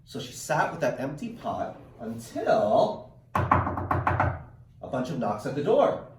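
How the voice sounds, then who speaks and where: A man's voice: medium pitch, huge pitch swings, very noisy. A man reading a picture book aloud to children, drawing out words dramatically and adding teaching asides. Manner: dramatic, drawn-out word emphasis. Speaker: read-aloud storyteller. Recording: echoey room, distant microphone.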